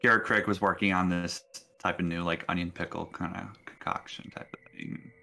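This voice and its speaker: A man narrating a tabletop game as game master, casual and halting, often rephrasing as he describes scenes. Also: low voice, fairly even intonation, gravelly, bright, forceful tone, slight background noise.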